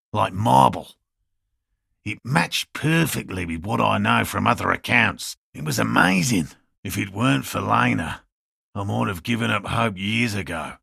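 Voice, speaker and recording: A prickly old field researcher from a video game, his voice deep-set and full of heavy gravel, with a forceful edge. He speaks like a proud academic, exact and curt, every phrase pedantically placed. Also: dry recording, clean studio sound, exaggerated voice. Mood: earnest wonder. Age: older. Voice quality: gravelly, throaty. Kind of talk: video game character dialogue.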